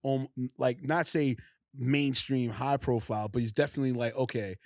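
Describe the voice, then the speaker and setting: Casting note: male podcast host, slangy and rambling, middle pitch, dry close sound. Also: fairly even intonation, normal speed, no echo. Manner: loose, slangy, rambling questions full of fillers. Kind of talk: casual interview chat.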